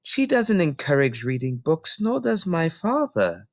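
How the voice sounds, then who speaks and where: A man's voice: fairly high pitch, big pitch swings, unhurried, no room sound, clean studio sound, pitched above the performer's own voice. A man voicing a small bookish girl who is polite, earnest and eager, in a children's storybook reading. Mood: matter-of-fact, reluctant.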